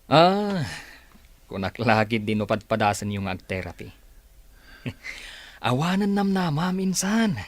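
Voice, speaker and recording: An actor with a Filipino Ilocano accent playing a pushy, mocking schemer in a radio drama. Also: male voice, medium pitch, sing-song, measured pace, pauses often. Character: pushy scheming male cousin after a rich relative's signature.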